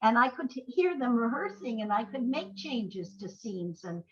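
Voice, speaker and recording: Older female interview guest, a writer recalling her career. Higher-pitched voice, halting conversational storytelling, slightly muffled lo-fi sound. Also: big pitch swings, breathy edge. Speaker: interview guest, playwright and screenwriter. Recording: dull, lo-fi audio.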